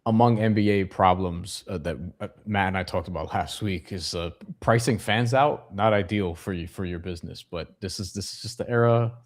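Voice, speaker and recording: Deep-voiced halting podcast host. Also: male voice. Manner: halting, filler-heavy, restarts often. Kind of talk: casual podcast chat.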